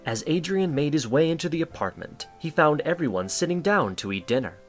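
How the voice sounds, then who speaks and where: A man's voice: a little high, lively intonation, fairly quick, soft background music. A man reading scripted story narration as the narrator of an audio drama.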